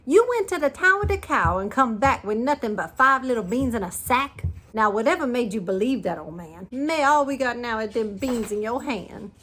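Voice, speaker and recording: A woman's voice: medium pitch, sing-song, fast, few pauses, husky. A woman voicing an angry mother scolding her son in a storybook.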